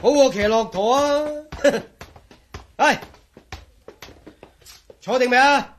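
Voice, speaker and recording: A man's voice: extremely high pitch, slow. An actor with a Hong Kong Cantonese accent playing a chatty, good-humored male engineer in a radio drama, joking as he talks. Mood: jovial. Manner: chatty, joking, peppers talk with chuckles and sighs.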